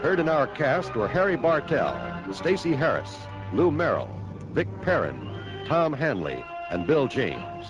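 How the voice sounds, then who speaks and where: A man's voice: mid-range voice, huge pitch swings, a little slow. A man announcing scripted credits for a 1950s radio show in a projected, formal delivery. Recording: dull vintage radio, loud music.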